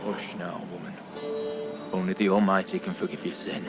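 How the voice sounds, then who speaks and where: A man's voice: deep voice, little pitch movement, normal speed, loud music behind the voice. A man playing a solemn, commanding 17th-century religious judge in a video game, condemning in formal archaic phrases. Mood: stern condemning. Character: stern, pious 17th-century witch-trial judge. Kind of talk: dramatic video game acting.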